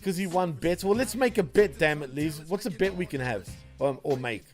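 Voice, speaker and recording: A man's voice: a little high, lively intonation, normal speed, faint music behind. A man from Australia with an Australian accent, co-hosting a casual late-night wrestling podcast, laid-back and laughing often, swearing freely. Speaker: podcast co-host.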